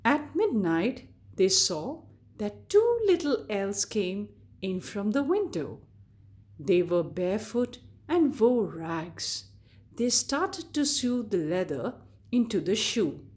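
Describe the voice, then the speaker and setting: A woman storyteller reading a scripted fairy tale to children in a deliberate, expressive way. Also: medium pitch. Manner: deliberate, expressive read-aloud delivery addressed to children.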